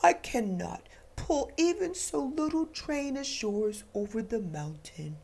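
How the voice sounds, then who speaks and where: A woman's voice: on the high side, big pitch swings, slow pace, vocal fry, darkish tone, slightly rough, pitched below the performer's own voice, exaggerated voice. A woman voicing a tired, rusty old male engine in a storybook reading, sighing and whispering. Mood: weary.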